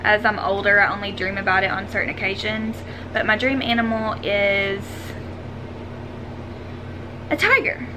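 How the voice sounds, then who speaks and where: A woman's voice: medium pitch, steady tone, normal speed, pauses often, noisy background. A woman, chatting casually and self-deprecatingly as she answers questions in a vlog at home. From Arkansas, United States. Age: 20s. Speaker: vlogger. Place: room at home.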